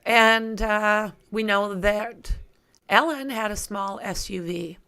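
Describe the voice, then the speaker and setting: A woman with a creaky voice hosting a true crime livestream, reading aloud and chatting informally with viewers as she thinks aloud. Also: mid-range voice, fairly even intonation, slow pace, bright, forceful tone. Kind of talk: reading documents aloud with casual asides to viewers.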